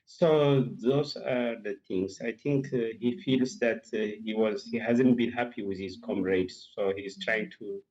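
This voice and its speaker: A man giving political commentary as an interview guest, speaking haltingly with many hesitations and repeats, heard over a muffled remote link. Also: on the low side, steady tone, darkish tone. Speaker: political analyst and academic guest.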